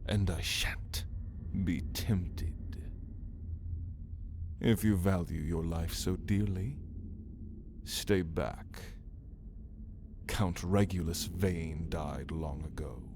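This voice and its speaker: A man's voice: low voice, creaky, background noise, heavily acted. A man playing a brooding, menacing caged vampire in an ASMR roleplay, speaking in a theatrical, taunting way with archaic wording. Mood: dark, threatening. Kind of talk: ASMR roleplay, dramatic monologue to listener.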